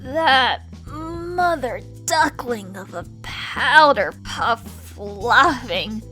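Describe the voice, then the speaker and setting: A woman's voice: on the high side, expressive, drawn-out words, over music. A woman voicing a bossy, foul-tempered woman trapped as a little girl, ranting sarcastically with cutesy stand-in swears, in a comic fan dub. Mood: grumbling.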